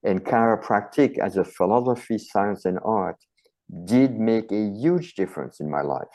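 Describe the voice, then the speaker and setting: A man's voice: lowish voice, expressive, moderate pace, a little mellow, low-quality recording. A middle-aged man with a French accent, a chiropractor and author answering interview questions earnestly and passionately, often stacking phrases into lists.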